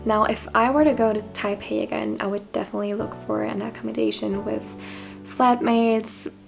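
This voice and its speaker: A woman's voice: a little high, steady tone, moderate pace, vocal fry, over music. A young woman talking candidly in a casual vlog, reflective and self-deprecating, often laughing at herself. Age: young adult. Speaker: vlogger.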